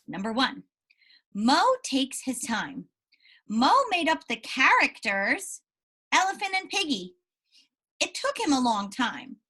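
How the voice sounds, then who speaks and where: A woman's voice: a little high, pushed and bright. A woman teacher reading aloud and explaining things to young pupils, encouraging them and often checking in with questions. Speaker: primary school teacher.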